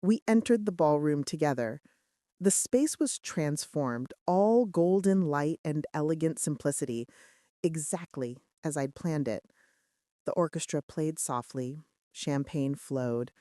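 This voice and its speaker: A woman's voice: low voice, unhurried, mellow, no room sound, studio quality. A woman reading scripted first-person romance fiction as an audiobook narrator, with dramatic, suspenseful delivery.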